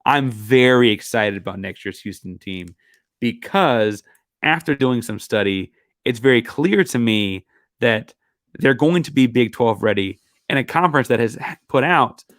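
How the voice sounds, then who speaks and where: A man's voice: medium pitch, animated, normal speed, no echo, clean studio sound. A man hosting a solo sports podcast, talking casually and rambling with fillers, his voice a bit worn. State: losing his voice. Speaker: podcast host.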